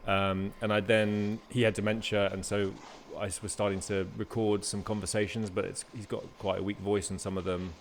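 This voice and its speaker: A man hosting a conversation, talking casually and haltingly with many restarts. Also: lowish voice, level tone, slight background noise. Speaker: interviewer. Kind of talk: casual interview chat.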